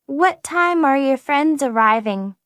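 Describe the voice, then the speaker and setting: Woman reading a high-voiced friendly speaker, slow careful delivery, dry close mic, beginner English lesson. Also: expressive, a bit bright, no room sound, heavily acted. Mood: plain. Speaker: dialogue partner in English practice. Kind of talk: slow scripted practice dialogue.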